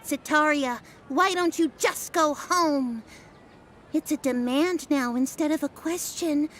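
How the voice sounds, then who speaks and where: A woman acting a haughty oracle who speaks for the gods in a video game, with theatrical, halting delivery. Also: sits high, fairly bright tone, a little hiss or hum. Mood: solemn, halting. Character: haughty female oracle voicing the gods, grandly insistent. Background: game music.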